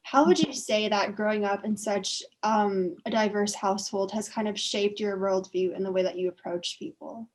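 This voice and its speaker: A woman speaks as an interviewer, delivering prepared introductions and questions. Her voice sits at a middle pitch for a woman. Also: normal speed, hardly any pauses, low-quality recording.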